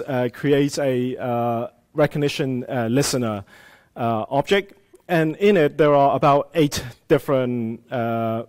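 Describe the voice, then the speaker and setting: A man's voice: medium pitch, darkish tone. A man from Hong Kong, a native Cantonese speaker, giving a live conference tech talk in a casual style with many fillers. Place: conference room with audience.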